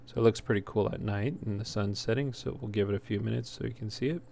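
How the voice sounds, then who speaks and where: A man's voice: lowish voice, steady tone, fast talker, darkish tone. A man giving casual, unscripted gameplay commentary as a let's play host, talking in an easygoing, hesitant ramble. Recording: clearly noisy home recording.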